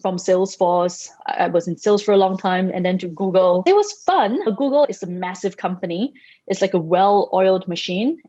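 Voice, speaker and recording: A woman from Singapore, a company founder, telling her career story in a podcast interview, measured with small restarts. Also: medium pitch, mellow, no echo. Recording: dry, muffled, lo-fi sound.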